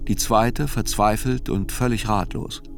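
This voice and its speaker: A man narrates a radio play from a literary script. His voice is on the low side. Soft music sits underneath. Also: steady tone, unhurried, vocal fry.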